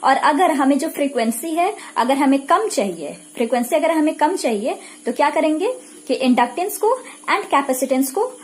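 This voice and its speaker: A woman's voice: high-pitched, animated, normal speed, slight background noise. A woman with an Indian accent lecturing in a didactic, repetitive way, asking questions and answering them herself. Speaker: physiotherapy lecturer. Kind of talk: explanatory lecture mixing Hindi and English.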